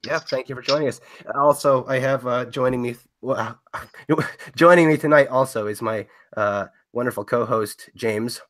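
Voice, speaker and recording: A man hosting a podcast interview, sounding nasal while getting over a cold, hesitant with many fillers and quick laughs. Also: medium pitch, fairly bright tone. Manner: hesitant, filler-heavy, giggly. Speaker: podcast host.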